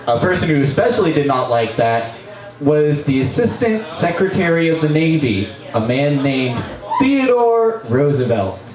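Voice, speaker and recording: Animated young man ranting into a bar mic. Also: a little high, a little slow. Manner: profane, animated, breaks into shouts. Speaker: open mic performer. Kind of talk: comic history monologue. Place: small bar stage, indoors. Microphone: stand mic, distant camera. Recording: roomy bar, camera far off.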